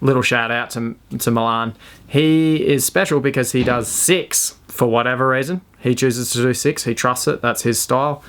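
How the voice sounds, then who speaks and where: Man, gravelly voice, laid-back slangy fishing host explaining knots. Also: mid-range voice, a bit bright. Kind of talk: casual how-to demonstration.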